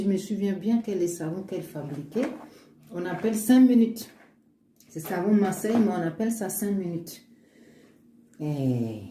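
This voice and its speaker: A woman's voice: mid-range voice, warm tone. A woman showing her homemade oils in a casual vlog, earnest and upbeat, with frequent restarts. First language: African language. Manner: earnest, upbeat, speaks of faith, many restarts. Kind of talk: casual show-and-tell, switching between English and French. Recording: echoey room with faint ambient noise.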